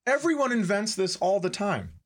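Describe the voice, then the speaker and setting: A man's voice: high voice, lively intonation, moderate pace. A man co-hosting a comedy podcast, riffing casually with profane, sarcastic jokes into a room microphone. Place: studio room.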